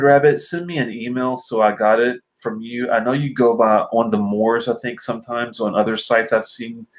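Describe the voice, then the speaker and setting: A man hosting a casual live stream about whisky, reading and answering viewer comments in a rambling, filler-heavy way, sounding stuffy and sinusy from allergies. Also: on the low side. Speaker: whisky reviewer host.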